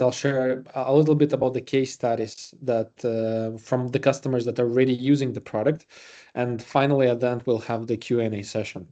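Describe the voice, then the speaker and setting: A man with a Latvian accent hosts an online presentation. His voice is dark and relaxed, at an average pitch. He speaks informally, pausing often as he goes. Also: muffled recording. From Riga, Latvia. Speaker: webinar host and presenter. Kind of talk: semi-scripted webinar presentation.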